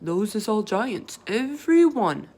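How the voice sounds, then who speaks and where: A woman voicing a big, friendly male giant from a children's storybook, earnest and excitable, with muddled folksy grammar. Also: medium pitch, measured pace, husky, pitched above the performer's own voice, heavily acted. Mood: emphatic explaining. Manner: emphatic, garbled folksy grammar with made-up words.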